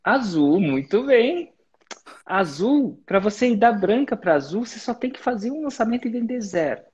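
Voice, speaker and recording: Husky man coaching students. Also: high voice, sing-song, normal speed, a little mellow, lo-fi sound. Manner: direct, instructive, uses sports-belt metaphors. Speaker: business mentor and host. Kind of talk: live coaching session.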